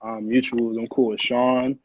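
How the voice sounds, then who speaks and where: A man's voice: mid-range voice, level tone, a little slow, darkish tone, no room sound, highs cut off. A man in his early twenties with an American accent, chatting casually and in slang as an interview guest. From Connecticut, USA. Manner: slangy, informal. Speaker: music producer, interview guest. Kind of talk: casual chat.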